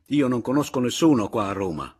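A man voicing a proud, hot-headed gentleman in a story, forceful and scornful. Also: medium pitch, very animated, moderate pace, husky, voice pushed up. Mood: exasperated.

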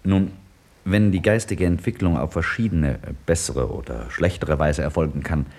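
A man's voice: sits low, moderate pace, a little mellow. A man playing an articulate, patient scientist in a 1950s German radio drama, explaining things formally. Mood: explaining patiently.

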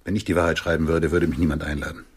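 Older sleazy con man in a radio drama, deep raspy voice, wry and kinda melancholy. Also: flat delivery, rapid-fire, full character voice. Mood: resigned. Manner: blunt, wry, world-weary. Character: aging lecherous male swindler, blunt, melancholy, lonely. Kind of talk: intimate radio drama dialogue.

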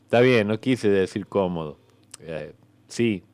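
A man's voice: fairly low pitch, lively intonation, measured pace, darkish tone, clear, resonant tone, no echo. A man with an Argentine accent acting in a comic radio sketch as an evasive, bureaucratic God, casual, hesitant and a little condescending. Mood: exasperated. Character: evasive, bureaucratic God at eternity's front desk.

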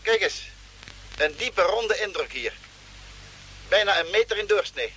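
An observant male astronaut in an old radio play. His high voice moves animatedly up and down, yet his wording is precise and alert. The vintage recording carries slight noise. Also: expressive, unhurried, sharp tone, heavily acted, rough recording. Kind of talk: old Dutch science fiction radio drama acting.

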